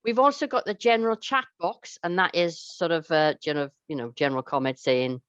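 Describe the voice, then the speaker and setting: A woman's voice: on the low side, normal speed, dry recording, dull audio. A Welsh woman chairing an online conference session, chatty and hesitant with many fillers. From Wales.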